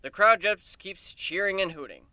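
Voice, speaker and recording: A man giving an expressive amateur dramatic reading of a fantasy story, in a storytelling delivery. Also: high-pitched, normal speed, dry recording. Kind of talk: dramatic reading of fan fiction.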